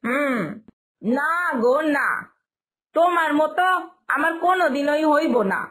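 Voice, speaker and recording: A blunt, mocking young village working woman from a cartoon tale, acted by a woman, with an eastern Bengali dialect. She taunts in a very high voice and stretches her words out slowly. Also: a bit bright. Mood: mocking, scolding. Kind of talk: cartoon story voice acting.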